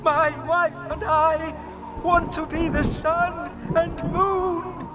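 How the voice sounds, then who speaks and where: Man doing a whiny, high-pitched greedy husband. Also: expressive, unhurried, over loud music. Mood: excited, pleading. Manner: whiny, emphatic. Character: greedy, discontented husband craving admiration.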